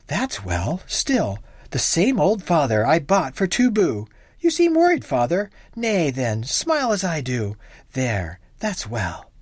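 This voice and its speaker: A woman voicing a simple, bewildered young husband who coaxes and soothes in a gentle tone. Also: low-pitched, wildly swooping pitch, takes it slowly, slightly rough, no echo, studio quality, pitched above the performer's own voice, exaggerated voice. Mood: coaxing. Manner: gentle and coaxing.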